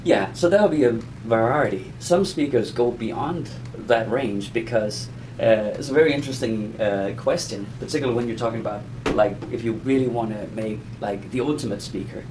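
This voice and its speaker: A man giving an informal conversational lesson as an explainer, in a halting, rambling way with self-repetition. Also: mid-range voice, noisy background. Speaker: audio explainer teaching beginners.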